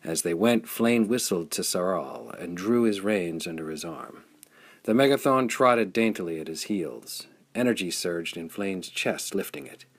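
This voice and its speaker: A man's voice: low voice, lively intonation, a little slow, no room sound. A man reading a pulp adventure story as scripted audiobook narration.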